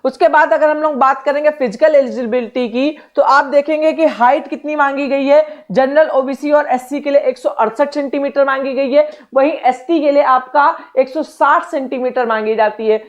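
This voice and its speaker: The recording is a little dull and lo-fi. A woman speaks in a clearly high-pitched voice. She teaches in a direct, explanatory style, addressing students as an exam coach. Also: steady tone, moderate pace, hardly any pauses. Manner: direct, instructional, repeats check phrases. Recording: dull, lo-fi sound.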